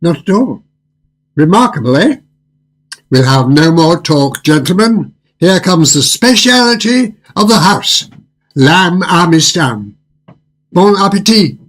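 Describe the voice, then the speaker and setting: An actor playing a suave, faintly sinister restaurant owner in a radio drama, with a smooth, purring, deliberately polite and husky delivery. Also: male voice, high-pitched, lively intonation, fairly bright tone, full character voice. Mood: smooth, secretive. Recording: music under the voice.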